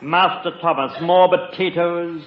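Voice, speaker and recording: An actor playing a dignified, pompous middle-aged butler in a 1950s radio comedy, formal and deferential in manner. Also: male voice, a little high, fairly even intonation, measured pace, distant mic, crowd noise, close to an everyday voice. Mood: stately, deferential. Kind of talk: 1950s radio sitcom acting. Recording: muffled roomy old radio recording, noisy audience.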